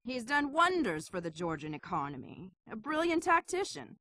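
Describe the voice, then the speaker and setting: A woman playing a brisk, assured professional in a video game cutscene. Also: a little high, big pitch swings, bright, forceful tone, no echo, clean studio sound, heavily acted.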